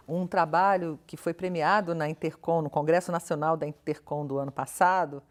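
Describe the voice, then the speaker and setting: A woman's voice: low voice, steady tone, normal speed. A Brazilian woman speaking as an academic interview guest, explaining her views in an articulate, questioning way with emphasis on key words. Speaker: interview guest, professor and researcher. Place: television studio interview.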